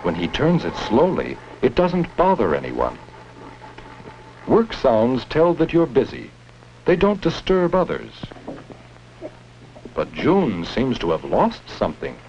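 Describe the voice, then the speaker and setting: A man's voice: mid-range voice, huge pitch swings, takes it slowly, pauses often, a little mellow. A man reading scripted narration for a 1950s educational film, instructing children with simple questions. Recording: muffled, noisy 1950s educational film soundtrack.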